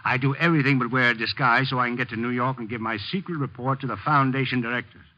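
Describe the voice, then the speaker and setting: An actor playing a shamefaced older uncle, flustered and theatrical, in a 1950s radio drama. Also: male voice, mid-range voice, steady tone, quick pace, lightly acted. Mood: rueful, agitated. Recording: muffled old 1950s radio recording.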